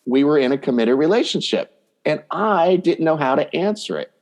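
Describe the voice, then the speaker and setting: A man's voice: a little high, animated, moderate pace. A man speaking as a dating coach guest in a conversational podcast interview, explaining points by voicing hypothetical quotes.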